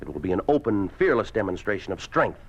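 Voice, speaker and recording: An actor in a 1950s radio drama playing a smooth, domineering labor official who talks in a curt, commanding, persuasive way. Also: male voice, fairly low pitch, very animated, fast. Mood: slick, pressing. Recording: muffled, noisy 1950s radio recording.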